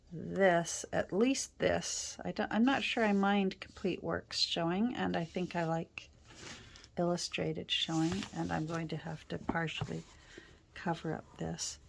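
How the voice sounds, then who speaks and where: Low, gravelly woman with a stuffy cold. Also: fairly even intonation, a little slow, a little hiss or hum. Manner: soft, often drops to a whisper, rambling. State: congested from a cold. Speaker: journal-making crafter. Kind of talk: casual chat while crafting. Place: indoors at a craft worktable.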